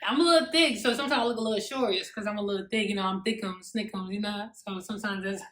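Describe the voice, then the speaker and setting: A woman's voice: medium pitch, quick pace, a bit bright. A woman in her twenties with an American accent, bantering casually to camera in a teasing, slangy way. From Newark, New Jersey, United States. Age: 20s. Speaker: YouTube couple vlogger. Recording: echoey untreated room.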